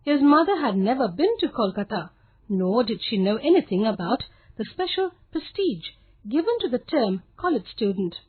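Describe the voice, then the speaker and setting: High, husky woman storyteller, phone-like sound. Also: very animated, normal speed. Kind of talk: scripted children's story narration.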